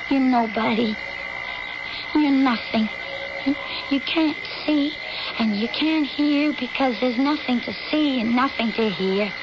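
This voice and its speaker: Radio drama daughter: ailing, needy, plaintive, highish voice, heavily hissy old recording. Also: female voice, dull audio. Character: frightened, sickly, lonely daughter clinging to her father.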